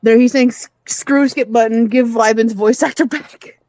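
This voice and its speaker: A woman's voice: fairly high pitch, steady tone, moderate pace, a little mellow, dry recording. A woman chatting casually with a friend during a video game. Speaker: friend watching along. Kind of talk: casual gaming chat. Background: video game music.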